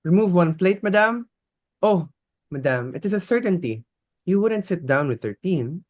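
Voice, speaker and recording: A man's voice: sits high, lively intonation, normal speed, darkish tone. A man playing a polite, deferential household butler in a dramatic reading of a stage play, speaking formally and attentively. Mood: concerned, helpful.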